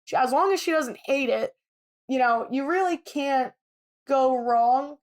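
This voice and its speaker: A woman's voice: sits high, measured pace, darkish tone. A woman co-hosting a podcast, chatting casually and giving her opinions freely. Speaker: podcast co-host. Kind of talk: casual chat, reality TV recap.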